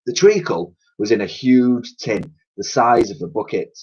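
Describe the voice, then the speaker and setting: Male storybook reader for children, expressive narration, slightly muffled lo-fi sound. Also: medium pitch, measured pace, slightly rough. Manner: expressive, acts out characters' dialogue. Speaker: teacher reading aloud to pupils.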